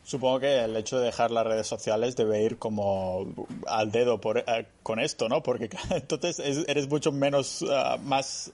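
A man's voice: medium pitch, a little mellow. A man hosting a casual interview, chatty, asking long winding questions. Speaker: podcast host.

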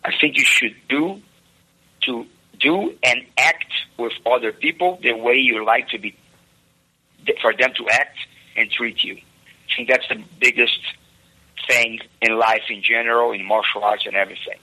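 A man's voice: medium pitch, fairly even intonation, unhurried, sharp tone, slightly rough, rough recording. A man whose first language is Portuguese, earnestly giving advice in a played interview clip and repeating words as he searches for them. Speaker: jiu-jitsu guest from a past interview.

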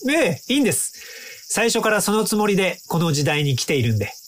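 Earnest, lively young inventor from the future. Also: male voice, high voice, fast, slight background noise. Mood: gentle, candid. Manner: polite, explanatory, earnest speech. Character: earnest, polite young male inventor time traveler from the future. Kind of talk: audio drama acting.

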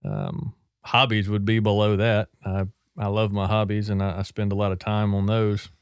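A man hosting a podcast, leading a reflective discussion in a plain-spoken, measured way. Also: fairly low pitch, expressive, clear, resonant tone, no room sound, clean studio sound. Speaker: podcast host.

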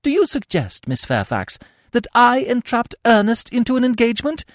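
A man's voice: mid-range voice, wildly swooping pitch, moderate pace, dry recording, clean studio sound, voice pushed up. A man putting on a sweetly spiteful young country girl. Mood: indignant. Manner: falsely sweet, barbed politeness.

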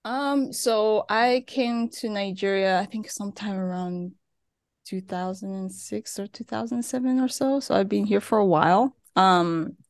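A woman's voice: medium pitch, measured pace, no echo. Chatty young woman on a dry mic. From Turkey. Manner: chatty, with frequent fillers and laughs. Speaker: podcast guest. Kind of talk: casual interview chat. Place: living in Nigeria.

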